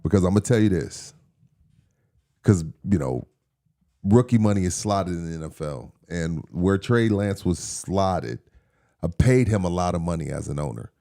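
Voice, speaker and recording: A man with a low, deep voice talks sports on a casual show. He swears freely and gets animated, often slipping into mock rants as a team owner. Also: moderate pace. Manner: animated, profane, riffs in character as a team owner. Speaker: co-host.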